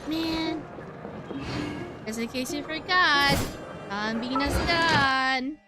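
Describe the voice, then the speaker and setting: A woman's voice: sits high, slow pace. A woman streamer giving casual, chatty gaming commentary with a playfully sarcastic manner. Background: loud game music.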